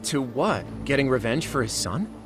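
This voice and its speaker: A man's voice: medium pitch, lively intonation, moderate pace, lightly acted. An actor playing a man who briefs his detective partner on a case in a game cutscene, in an explanatory tone. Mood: explaining. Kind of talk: video game cutscene voice acting. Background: background music.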